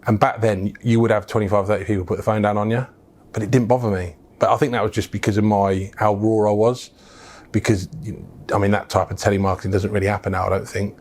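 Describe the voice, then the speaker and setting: Low, flat-voiced English businessman. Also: quick pace. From England. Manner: storytelling, frank, with fillers and restarts. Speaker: business founder, guest. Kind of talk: casual interview.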